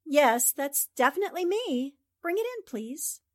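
Radio-drama girl reporter female actor: high-pitched, animated, plucky and courteous. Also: unhurried. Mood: bright, courteous. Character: plucky, suspicious female newspaper columnist. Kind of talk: 1940s radio drama acting.

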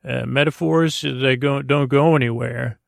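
A man hosting a sleep podcast in a rambling monologue, with a creaky voice and a soothing, meandering, halting delivery that often repeats words. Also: medium pitch, fairly even intonation, no room sound, studio quality. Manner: soothing, lulling. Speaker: podcast host.

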